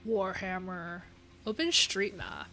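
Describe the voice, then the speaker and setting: A woman's voice: fairly low pitch, very animated, slow pace, pushed and bright, low-quality recording. A woman hosting a casual livestream, reading out viewers' answers and adding loose, chatty asides with lots of fillers. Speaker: livestream host. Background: pop punk music playing.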